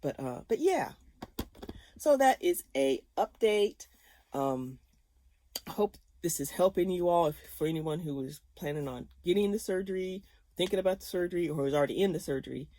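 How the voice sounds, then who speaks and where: A woman's voice: lowish voice, lively intonation, normal speed, warm tone, no room sound. A woman in her fifties talking casually to her viewers, chatty and rambling, often laughing. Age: 50s. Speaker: vlogger.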